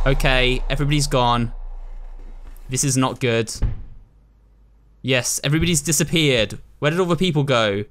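A man's voice: mid-range voice, fairly bright tone. A British man giving chatty, casual commentary while playing a horror game, addressing his viewers as host. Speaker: gaming video host. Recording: voice over game music. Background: ominous game music.

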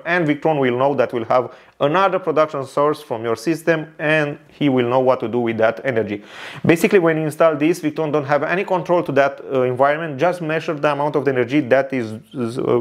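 Romanian-accented man explaining gear. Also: medium pitch. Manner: careful non-native English with frequent uh fillers and repeats. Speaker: tech reviewer. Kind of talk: product review tutorial. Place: indoor studio with neon lights and shelves.

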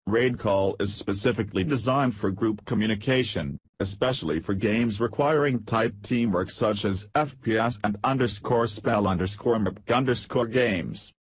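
A man narrating a scripted software tutorial, reading out instructions step by step. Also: lowish voice, normal speed, hardly any pauses, husky, dry recording.

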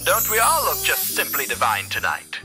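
A man's voice: on the high side, huge pitch swings, moderate pace, over music. A man voicing a suave, smug male radio demon in a cartoon, with theatrical, old-fashioned politeness. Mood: pleased, grand.

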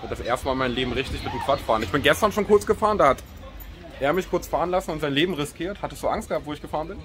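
Fast, high-voiced young man. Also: over music. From Berlin, Germany. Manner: excitable, slangy. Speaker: vlogger. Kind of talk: casual chat to camera. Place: outdoors on holiday, by beach, pool and roads. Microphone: phone at arm's length. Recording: noisy outdoor phone recording.

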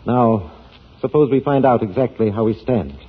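An actor in a 1940s radio drama playing a jealous, controlled husband with a formal, measured manner. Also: male voice, mid-range voice, expressive, breathy edge. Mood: bitter restraint. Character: controlled, jealous, brooding husband of an opera star. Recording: tinny, noisy 1940s radio broadcast.

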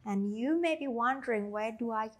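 A woman in her thirties with an Australian-tinged accent, guiding a Pilates class with slow, soothing cues and chatty asides. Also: on the high side. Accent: Australian-tinged English. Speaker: Pilates instructor. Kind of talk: guided exercise instruction.

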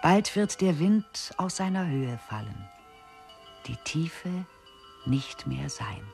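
A performer reciting lyrical verse in a radio play, solemn and deliberate. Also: medium pitch, very animated, creaky, darkish tone, faint music behind, exaggerated voice.

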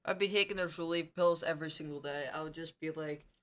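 A man's voice: a little high, steady tone, quick pace, pushed and bright. A young man giving casual reaction commentary as the host of a video, recorded in a room at home. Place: small home room, indoors.

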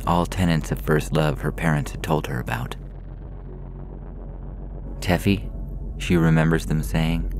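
A man narrates fiction in an extremely low voice with heavy fry. His reading is measured and deliberate, set over clearly audible music. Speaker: podcast story reader.

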